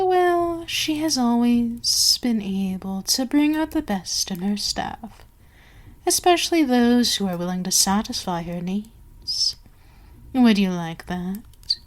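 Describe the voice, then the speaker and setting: Woman acting a slow, imperious, seductive vampire queen. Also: on the high side. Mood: commanding, menacing. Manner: slow, deliberate, haughty, commanding, dropping into whispers. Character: imperious, seductive, menacing vampire queen dominating her servant. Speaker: voice actor in audio roleplay. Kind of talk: ASMR roleplay acting.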